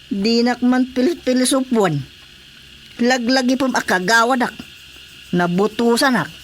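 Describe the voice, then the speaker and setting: An actress playing a touchy, defensive village councilor in a radio comedy drama, scolding and interjecting in an Ilocano accent. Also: female voice, fairly high pitch, a little slow, slight background noise, lightly acted. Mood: defensive, indignant.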